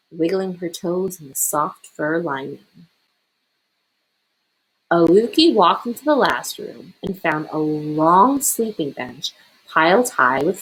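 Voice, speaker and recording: Woman doing a kids storytime read aloud, slow and lively, bit husky. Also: mid-range voice, poor audio. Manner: expressive, deliberate. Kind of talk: children's picture-book read-aloud.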